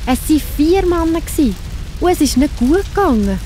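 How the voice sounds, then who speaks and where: A woman's voice: sits high, sing-song, fairly quick, mellow, slight background noise. A woman with a Swiss accent narrating a scripted Bible story for children.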